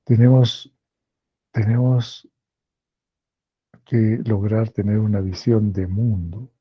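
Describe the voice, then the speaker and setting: A man with a Peruvian accent giving a lecture as a professor, slow and deliberate, repeating phrases to stress a point. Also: on the low side, little pitch movement, husky, no echo, muffled recording. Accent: Peruvian Spanish. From Peru.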